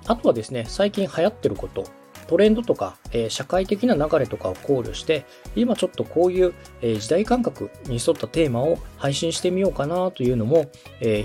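A man's voice: medium pitch, a little mellow. A man hosting a podcast, explaining step by step in a polite, instructive coaching style. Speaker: podcast host and radio director giving advice.

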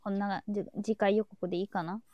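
A woman's voice: mid-range voice, little pitch movement, fast, dry recording, dull audio. A woman co-hosting a casual live audio chat, talking in an agreeable way. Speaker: live show co-host.

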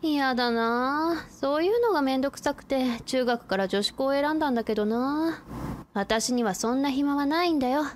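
Woman playing a high-voiced blunt tomboy schoolgirl. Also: normal speed, close to an everyday voice. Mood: fed up. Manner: brusque, boyish speech. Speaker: supporting actor. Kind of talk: anime-like radio drama acting. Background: ambient noise.

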